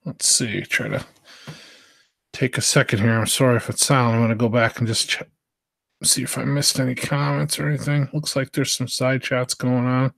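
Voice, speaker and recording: A man's voice: medium pitch, little pitch movement, normal speed, vocal fry, fairly bright tone, no echo. A man from the United States chatting casually as a live stream host, hesitant and meandering. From United States, Southern Virginia.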